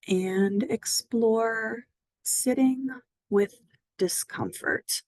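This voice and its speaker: A woman leads a body scan meditation at an unhurried, slow pace, her voice gentle and soothing. It sits at a middle pitch and sounds dry and close to the mic. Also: dry recording. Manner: slow, gentle, soothing guidance with long pauses. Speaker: mindfulness guide.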